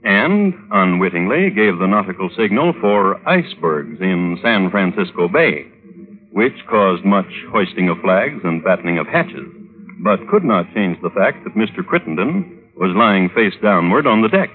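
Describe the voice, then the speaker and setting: An actor playing a dry, droll connoisseur of crime, hosting and narrating in ornate, wry, deliberate old radio style. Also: male voice, deep voice, big pitch swings. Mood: wry, measured. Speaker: host and narrator. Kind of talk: 1950s radio scripted narration. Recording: old narrowband radio recording with music.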